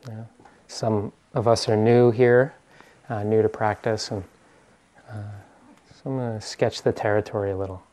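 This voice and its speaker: Male meditation teacher, American, lowish voice, informal talk to a group, hesitant and searching for words. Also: fairly even intonation, moderate pace, dark tone. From US East Coast.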